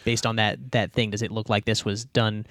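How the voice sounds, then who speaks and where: A man's voice: fairly low pitch, flat delivery, normal speed, a bit bright, no echo, clean studio sound. A man talking casually as a player in a tabletop role-playing game.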